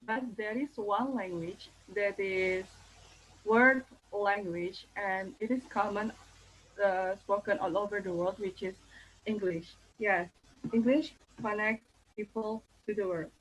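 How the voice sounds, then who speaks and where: Young woman, Indonesian accent, thesis defense talk, slow pace, halting with fillers, faint background noise. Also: medium pitch, steady tone, poor audio. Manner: careful, hesitant, many fillers and restarts. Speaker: thesis candidate.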